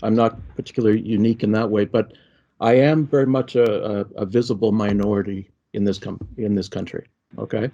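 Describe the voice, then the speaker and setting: Canadian man, low mellow voice. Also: steady tone, a little fast, lo-fi sound. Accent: Canadian English. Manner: conversational, repeats "okay?" often. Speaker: panelist. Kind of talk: online panel discussion.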